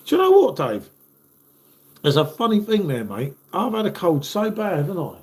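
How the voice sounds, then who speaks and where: A man's voice: sits high, wildly swooping pitch, moderate pace, darkish tone. A man in his fifties, hosting a casual live stream and chatting matily with viewers while stuffed up from a cold. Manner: chatty, matey, calls viewers "mate".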